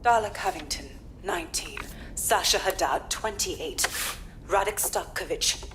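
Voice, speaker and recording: Low-voiced, resolute woman police inspector. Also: a little slow, a bit bright, slight background noise. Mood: grim. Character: resolute, hard-bitten female police inspector. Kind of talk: game cutscene briefing.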